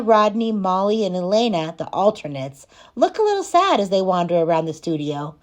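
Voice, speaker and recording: A woman's voice: on the low side, a little mellow. A woman reading a children's novel aloud as a teacher would for students, in a storybook narration style.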